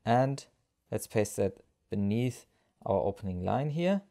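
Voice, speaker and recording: A man narrating a step-by-step software screencast tutorial as an instructor, explaining each action as he goes. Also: fairly low pitch, lively intonation, a little slow, vocal fry.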